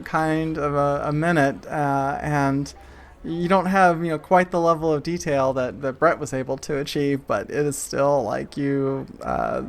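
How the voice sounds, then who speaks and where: A man running a tabletop game, improvising narration in a halting, conversational way. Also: a little high, gravelly. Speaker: game master. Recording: faint background noise.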